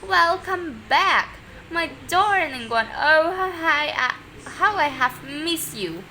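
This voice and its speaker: A woman voicing a sweet, doting storybook lady in a gushing, drawn-out, emphatic way. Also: high voice, expressive, sharp tone, a little hiss or hum, voice pushed up, heavily acted, poor audio. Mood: adoring. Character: sweet, gentle, doting woman who adores her tortoise.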